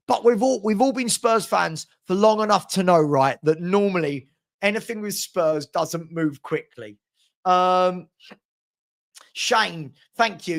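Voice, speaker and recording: A man's voice: sits high, fairly bright tone. A man in his forties hosting a casual football fan livestream, chatting matily with viewers and often repeating words as he thinks aloud. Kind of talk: casual live chat reading and answering viewer comments.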